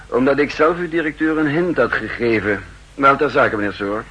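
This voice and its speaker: An actor playing a shrewd, self-assured chief of counter-espionage in a 1960s radio drama, pedantic, dryly ironic and in command. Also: male voice, medium pitch, moderate pace, bright, forceful tone, slightly rough. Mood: assured, explaining. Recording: old 1960s radio recording.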